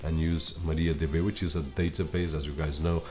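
Man, 30s, native Portuguese speaker, very low voice, chatty tech review, home office desk. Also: little pitch movement, moderate pace, a little mellow. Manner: chatty, talks straight to viewers with frequent fillers.

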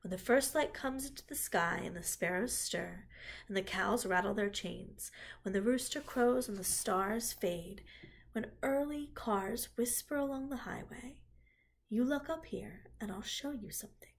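A woman voicing a gentle, wise female spider who speaks softly and low, often in a whisper, in a read-aloud storybook. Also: big pitch swings, unhurried. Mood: tender hushed.

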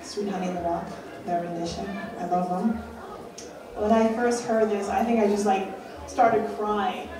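A woman's voice: mid-range voice, fairly even intonation, normal speed, a crowd in the background. Earnest young woman lecturing in a roomy hall. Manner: earnest, questioning, builds emphasis. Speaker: keynote speaker. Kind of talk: public lecture. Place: dark stage at a festival, indoors. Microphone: handheld mic on a stand. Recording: roomy hall from a far camera, audience.

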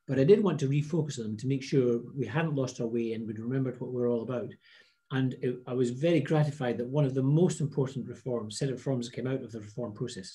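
Man, middle-aged, warm low-key tone, video call from an office, measured delivery with restarts. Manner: measured, restarts phrases often. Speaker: parliament presiding officer. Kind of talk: video-call interview answers. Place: office with blinds and a plant.

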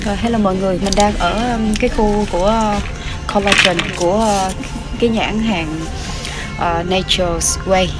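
A woman in her thirties talks through products while walking a big pharmacy, with lots of hesitations and restarts. Her phone is held close. Store music plays clearly behind her. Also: medium pitch, fairly even intonation, moderate pace. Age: 30s. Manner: chatty, many fillers and restarts. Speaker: shopping vlogger. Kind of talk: casual product walkthrough. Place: large pharmacy store, indoors. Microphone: phone camera held close.

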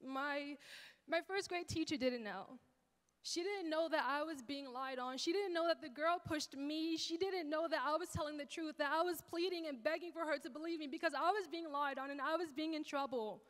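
Teen girl, high bright voice, earnest rhythmic spoken word, reverberant church hall. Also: moderate pace. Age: teens. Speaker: teen performer. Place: church stage, large hall. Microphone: handheld mic.

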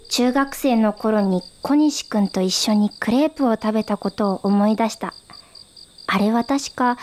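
High-pitched wistful young woman, an actress narrating. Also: a little mellow, slight background noise, lightly acted. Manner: reflective storytelling. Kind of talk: radio drama first-person narration.

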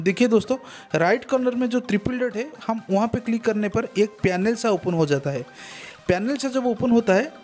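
A man's voice: high-pitched, a little mellow. A man giving a step-by-step tech tutorial voice-over, repeating himself and addressing listeners as friends. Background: background music.

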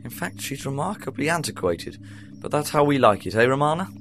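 An actor playing an eccentric, jovial time traveller in an amateur audio drama. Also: male voice, medium pitch, big pitch swings, brisk, a bit bright, a little hiss or hum. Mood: breezy. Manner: airy, jovial, upper-class British.

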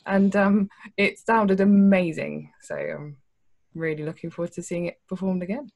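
Woman, slightly low voice, wry, informal guest writer introducing a story. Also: steady tone, moderate pace, creaky, darkish tone. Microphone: video call from home.

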